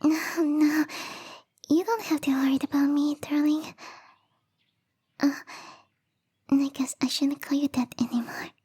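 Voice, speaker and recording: Woman voicing a high, whispery, sweet snow-spirit girl. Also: fairly even intonation, measured pace, pauses often. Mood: sad, resigned. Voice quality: soft, whispery. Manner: sweet, pleading, girlish. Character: bubbly, lonely young female snow spirit longing for a husband. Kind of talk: breathy ASMR roleplay.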